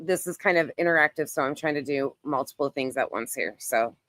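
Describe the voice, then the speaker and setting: Give a low-pitched woman's voice recorded close and dry. She speaks as an online creator doing off-the-cuff live commentary, repeating phrases for emphasis and narrating her own steps as she goes. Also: a little fast, a bit bright, dry recording. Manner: narrates own actions while browsing. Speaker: YouTube creator, host. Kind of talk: casual live commentary, screen share.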